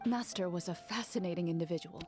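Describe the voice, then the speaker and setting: A woman playing a composed, stoic young fighter in a game, speaking in calm, matter-of-fact, formal phrases. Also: on the low side, fast, faint music behind, natural voice. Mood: matter-of-fact, reflective. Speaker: game character voice actor. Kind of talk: scripted character dialogue, storytelling.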